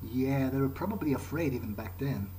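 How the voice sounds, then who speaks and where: A man's voice: mid-range voice, lively intonation, fairly quick, dark tone, a little hiss or hum, poor audio. A man doing in-character game commentary, thinking aloud in a musing, hesitant way. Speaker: gamer playing in character.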